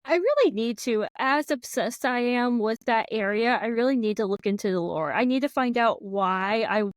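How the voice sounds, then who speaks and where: Woman, Floridian American accent, casual podcast host, talkative, smooth voice, fairly high. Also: animated, moderate pace, no echo, studio quality. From Florida, United States. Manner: chatty, lots of "like" and "yeah". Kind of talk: casual conversation.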